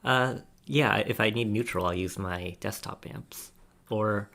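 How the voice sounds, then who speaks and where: A man's voice: lowish voice, a little slow, vocal fry, fairly bright tone, slight background noise. A man talking casually as a reviewer on a podcast panel about gear, often chuckling between points. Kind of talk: casual roundtable discussion.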